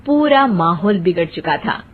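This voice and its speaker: A woman's voice: on the low side, expressive, a little slow, a little hiss or hum. A woman narrator reading a scripted story. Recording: muffled phone-like narrow band.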